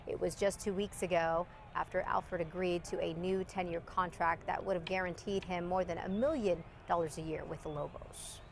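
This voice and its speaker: A woman news anchor reading morning headlines briskly, with light friendly banter and a slightly scratchy voice. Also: medium pitch. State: scratchy voice from allergies.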